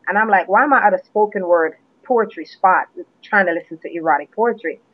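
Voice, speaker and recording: A woman from Jamaica, a guest poet, chatting in an interview and telling her story in a relaxed way with restarts, heard over a phone line. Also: mid-range voice, fairly quick, no room sound. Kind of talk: casual interview chat. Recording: tinny phone line, dry.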